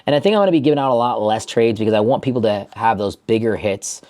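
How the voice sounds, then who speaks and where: A man's voice: on the low side, quick pace, a little mellow. Man giving off-the-cuff trading advice. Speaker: stock trading YouTuber. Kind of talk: unscripted market commentary to camera.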